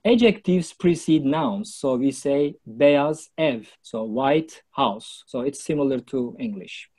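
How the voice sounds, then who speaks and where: A man with a Turkish accent delivers a prepared talk to a club audience. His voice is mellow and dark, and he pushes key words louder as he goes. He sounds like he is in his thirties. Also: mid-range voice, animated, slow, slightly rough. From Turkey. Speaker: club speaker. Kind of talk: prepared public speech.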